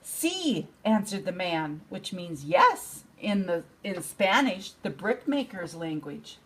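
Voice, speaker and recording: Slow, very animated older woman reading a storybook. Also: fairly low pitch, breathy edge, poor audio. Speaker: grandmother reading to a grandchild. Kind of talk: expressive bedtime storybook reading.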